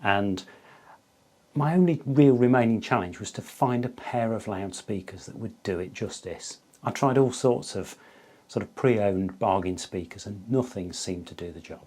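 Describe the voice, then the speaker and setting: A man in his fifties telling a story casually to camera as a video host, chatty and enthusiastic, pausing for emphasis. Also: on the low side, darkish tone, husky. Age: 50s.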